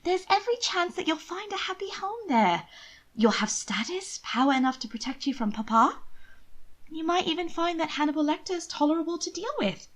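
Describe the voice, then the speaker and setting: Woman acting a high-voiced, coaxing young noblewoman. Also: expressive, a little fast, poor audio. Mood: persuasive, dreamy. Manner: sweetly wheedling, breathy emphasis. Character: coaxing, lively young noblewoman, manipulative sister.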